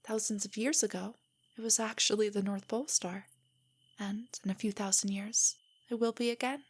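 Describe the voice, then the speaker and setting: A young woman playing a nerdy, nervous, tender girlfriend in an intimate stargazing roleplay audio, speaking softly and often near a whisper as she gently rambles. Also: mid-range voice, fairly even intonation, moderate pace, husky, close to an everyday voice. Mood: gently enthusiastic. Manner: rambling, gently explaining, hesitant. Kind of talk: intimate roleplay audio, scripted to sound spontaneous.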